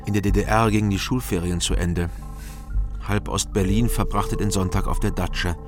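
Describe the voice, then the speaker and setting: An actor playing a dogged, sceptical East Berlin investigator in a radio crime drama, with a dry, laconic, sardonic manner. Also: male voice, low voice, steady tone, moderate pace, soft background music, lightly acted. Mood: reflective narrating. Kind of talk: German radio crime drama, dialogue and first-person narration.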